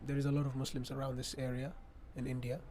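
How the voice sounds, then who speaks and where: A man's voice: medium pitch, monotone, quick pace. A young man from Ethiopia answering interview questions as a student guest, choosing his words carefully and hesitantly. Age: young adult. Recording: clearly noisy background ambience.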